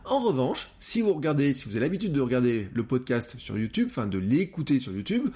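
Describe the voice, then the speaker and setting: A man hosting his own podcast, talking straight to his audience as he works through an idea. His pitch rises and falls a lot, and he often stops on hesitations and restarts mid-thought. He sits close to the microphone. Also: very animated, brisk, darkish tone. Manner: explanatory, thinks aloud with frequent hesitations. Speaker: podcast host. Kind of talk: solo podcast monologue.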